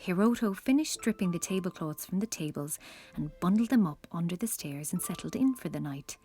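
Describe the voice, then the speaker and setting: A woman's voice: on the low side, animated, a little fast, warm tone, faint music behind. A woman narrating a scripted children's story as the storyteller of an audio drama.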